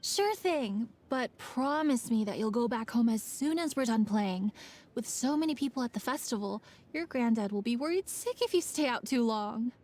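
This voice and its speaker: A woman's voice: a little high, lively intonation, moderate pace, darkish tone. A woman voicing a friendly young lantern maker in a video game, motherly and coaxing. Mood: cheerful.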